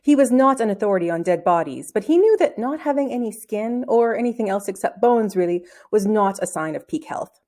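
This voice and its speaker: A woman reading a children's novel aloud as an expressive storybook narrator. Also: mid-range voice, brisk. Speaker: storybook reader.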